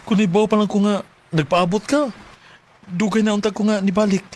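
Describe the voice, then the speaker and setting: A man's voice: high-pitched, steady tone, fairly quick, lightly acted. A man with a Cebuano accent acting a sincere, lovestruck young working man who also narrates his story in a radio drama. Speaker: radio drama actor and first-person narrator.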